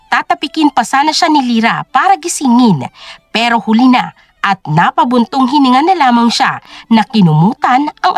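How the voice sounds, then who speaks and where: A woman's voice: on the high side, dry recording. A woman narrator reading a scripted dramatic story.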